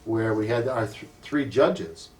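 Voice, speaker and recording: Older guy, low voice, kinda far from the mic, slow thoughtful storytelling with lots of ums. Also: darkish tone. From United States. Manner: thoughtful, with frequent ums and self-corrections. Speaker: community association president. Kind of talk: recalled life story in an interview.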